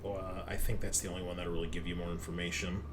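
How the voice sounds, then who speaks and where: A man running a tabletop roleplaying game as game master, narrating in an improvised, deliberate way with pauses. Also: low voice, flat delivery, noisy background.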